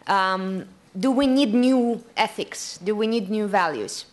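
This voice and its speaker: A woman's voice: mid-range voice, takes it slowly. Woman moderator wrapping up a panel, reflective, asks questions then answers them. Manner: reflective, builds points with rhetorical questions. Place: conference room.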